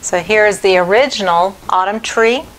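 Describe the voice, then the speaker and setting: A middle-aged woman artist showing her paintings one by one for an auction preview. She talks in a friendly, unhurried way and repeats the details. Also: mid-range voice, a little hiss or hum, poor audio. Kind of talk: slow, plain auction preview talk. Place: indoor home studio.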